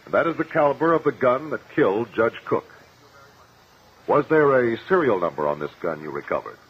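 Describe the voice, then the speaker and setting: Animated courtroom investigator, old radio. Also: male voice, mid-range voice, a little fast, breathy edge. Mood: probing, formal. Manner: formal, precise, declamatory. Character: shrewd, confident male courtroom investigator explaining the case. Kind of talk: 1950s radio drama acting. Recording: muffled, noisy old radio recording.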